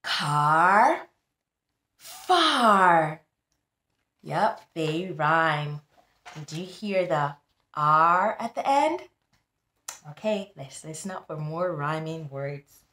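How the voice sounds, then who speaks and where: A woman in her thirties reading aloud to young children as a tutor, pausing to teach and speaking to them directly in an animated, instructive way. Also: deep voice. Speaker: tutor hosting a children's book club. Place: small indoor room. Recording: echoey small room.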